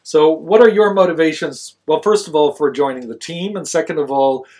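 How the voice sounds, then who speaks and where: A man's voice: a little high, moderate pace, a little mellow. A man with a mixed European-American accent hosting a live-stream panel chat in a small studio. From Sweden and France. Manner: chatty, jumbled phrasing. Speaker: host. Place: small studio with a world map.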